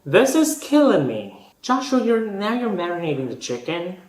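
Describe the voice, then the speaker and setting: A man's voice: high voice, big pitch swings, moderate pace, roomy sound. A man from Thailand with a Thai accent, giving sarcastic, sassy and dramatically exasperated reaction commentary on cooking. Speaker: host of a food reaction channel.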